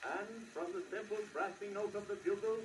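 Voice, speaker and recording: A man's voice: high-pitched, normal speed, echoey room, distant mic. A man making a formal, declamatory sales announcement, heard from a scratchy acoustic-era 78rpm record. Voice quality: declamatory, projecting. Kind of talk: early 1900s sales announcement. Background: hiss and crackle.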